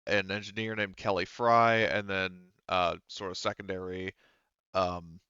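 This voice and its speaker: Male game master, deep and clean-toned, halting casual delivery, slightly dull dry audio. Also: flat delivery, sharp tone, clear, resonant tone, no room sound. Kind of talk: narrating an online tabletop game.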